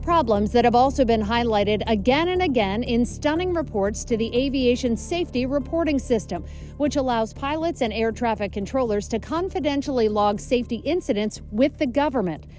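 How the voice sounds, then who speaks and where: A woman's voice: a little high, animated, moderate pace, darkish tone, soft background music. A woman reporter delivering scripted narration for an investigative television news report.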